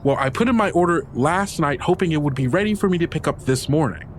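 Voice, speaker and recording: Man putting on a high-pitched, scolding woman customer. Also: animated, fast talker, slight background noise, lightly acted. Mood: exasperated scolding. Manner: exasperated, emphatic scolding. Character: fussy, scolding woman customer, sweet underneath.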